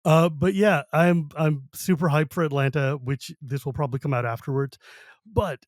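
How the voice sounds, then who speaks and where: A man's voice: on the high side, fairly even intonation, normal speed, no room sound, studio quality. Laughing, rambling man podcast host. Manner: laughs often, rambling with restarts. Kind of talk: casual chat.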